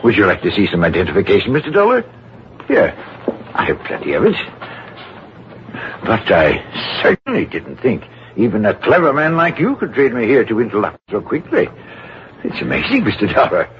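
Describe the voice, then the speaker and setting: An actor playing a prissy, excitable rich gentleman, flattering and over-polite, in an old-time radio drama. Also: lowish voice, normal speed, pauses often. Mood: fussy, flattering. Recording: dull, hissy old radio recording.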